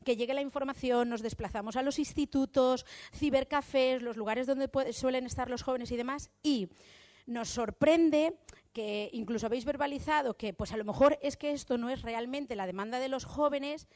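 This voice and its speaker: A woman's voice: high voice, moderate pace, a little mellow. A woman from youth services asking a rambling, hesitant question from the audience at a conference. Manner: rambling, hesitant with many fillers. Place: conference hall.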